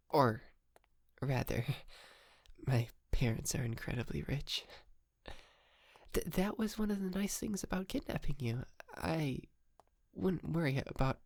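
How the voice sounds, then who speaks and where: A man playing a polite, sheepish, wealthy young yandere kidnapper in an ASMR roleplay, speaking softly and hesitantly with stammers and breathy whispered moments. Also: fairly high pitch, no echo, clean studio sound, heavily acted.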